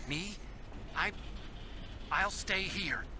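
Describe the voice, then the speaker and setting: A man's voice: sits high, very slow, background noise. An actor playing an earnest, nerdy young male engineer who helps out over the radio in a video game. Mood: resolute. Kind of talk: dramatic video game radio dialogue acting. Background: music.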